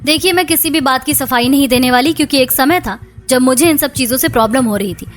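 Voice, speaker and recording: A woman's voice: high voice, fast talker, lightly acted. A woman voicing the women of a household in a Hindi moral-story cartoon, over music. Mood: defiant, aggrieved. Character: women of a quarrelling household: daughter-in-law, mother-in-law, sister-in-law. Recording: music bed behind the voice.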